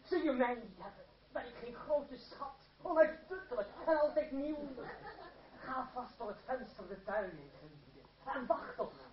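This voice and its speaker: An actor playing a smooth, scheming stage rogue with theatrical, flowery delivery in a radio drama. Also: male voice, extremely high pitch, animated, normal speed. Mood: amorous scheming. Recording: muffled, echoey old radio play recording, crowd noise.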